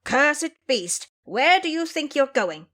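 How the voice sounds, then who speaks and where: A woman's voice: sits high, sing-song, takes it slowly, a bit bright, voice pushed up, heavily acted. A woman voicing a blustering, cautious male pig-spirit disciple, blunt and grumbling, in an audio drama. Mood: blustering, angry.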